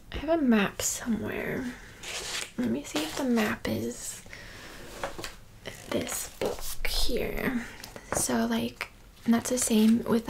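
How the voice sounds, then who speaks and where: An American woman teaching German in a whispery, rambling way with deadpan jokes, in a quiet indoor setting. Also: on the high side, gravelly, a little hiss or hum. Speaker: language teacher. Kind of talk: whispered ASMR-style German lesson, joking. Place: indoors with books and a map.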